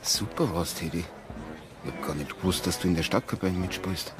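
An actor playing a chatty, gently inquisitive investigator with a Bavarian accent in a naturalistic radio drama. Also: male voice, deep voice, fairly quick, over music, lightly acted. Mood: friendly.

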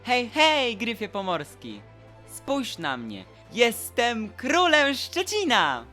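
Audio drama role: naive stone boy, played by a man, curious and a little timid, swooping high intonation, music underneath. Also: huge pitch swings, slow, bright, forceful tone, heavily acted. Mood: gleeful.

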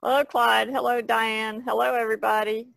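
A woman's voice: on the high side, little pitch movement, moderate pace, gravelly, a bit bright, no room sound. An American woman, a podcast panelist, speaking casually and introducing herself.

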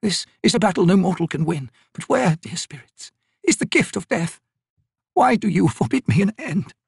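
Brief: ancient wisewoman, grieving and bitter, ragged high voice, breathy, dry booth. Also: very animated, normal speed, darkish tone, no room sound, full character voice. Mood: resigned. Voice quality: cracked, ragged.

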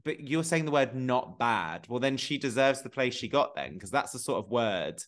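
A man, talking on a video call from his room at home. He sounds opinionated and expressive, and his voice swings lively up and down. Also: mid-range voice, moderate pace. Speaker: co-host. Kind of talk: casual chat on a video call.

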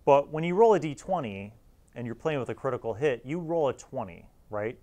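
A man's voice: mid-range voice, expressive, brisk, dark tone. A middle-aged man hosting a casual, opinionated review, speaking bluntly and conversationally, often checking in with a tag question. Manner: conversational, blunt, often ends phrases with "right?". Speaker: host. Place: small dark indoor studio. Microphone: lapel mic.

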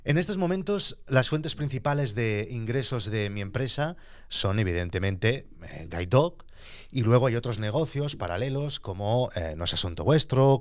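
A man in his forties, hosting a podcast, calmly and methodically explaining how his business handles money. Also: on the low side, no echo, clean studio sound. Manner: calm, methodical, explains terms as he goes. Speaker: podcast host and entrepreneur. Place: small studio in front of a blue backdrop. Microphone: studio microphone on a boom arm.

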